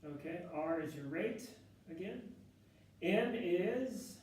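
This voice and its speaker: A man with a loud, slightly high voice lectures on math in a relaxed, chatty style. He thinks aloud, corrects himself mid-sentence and fills pauses with ums. He is heard from a distance in a very echoey classroom with faint room noise. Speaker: math instructor.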